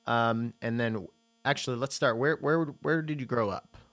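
A man's voice: medium pitch, moderate pace, a bit bright. A man in his thirties hosting a podcast, talking casually with many fillers and restarts, then interviewing a guest. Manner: rambling, filler-heavy, enthusiastic. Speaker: podcast host.

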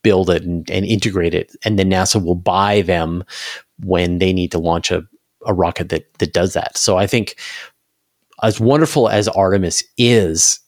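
A man's voice: sits low, normal speed, no echo, clean studio sound. A man talking conversationally as an interview guest, explaining things at length and restarting phrases as he reasons aloud. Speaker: interview guest, space news commentator.

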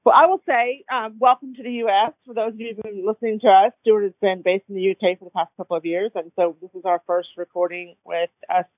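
High-voiced man co-hosting over a phone line. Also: steady tone, quick pace, few pauses, no echo. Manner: brief, agreeable responses. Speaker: podcast co-host. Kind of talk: casual podcast chat.

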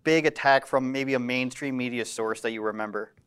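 A man's voice: mid-range voice, fairly quick, a bit bright, smooth. A man hosting an interview, joking wryly and laughing often. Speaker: interview host. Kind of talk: casual interview questions.

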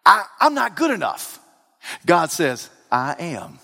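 A man preaching a sermon, swinging from loud excited outbursts to low hushed lines. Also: slow, creaky, husky. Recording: long reverb of a large hall.

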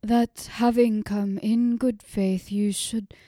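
A woman voicing a grieving middle-aged father who speaks formally and haltingly, in an old-fashioned storybook manner. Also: medium pitch, fairly even intonation, mellow, no echo, clean studio sound, natural voice. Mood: ashamed.

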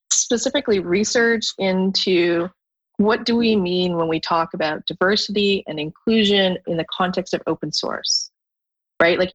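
A woman from Canada, a guest on a podcast, talking thoughtfully in a relaxed conversation, often restarting her sentences. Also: lowish voice, dull audio. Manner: thoughtful, with frequent restarts and fillers. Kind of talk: conversational interview.

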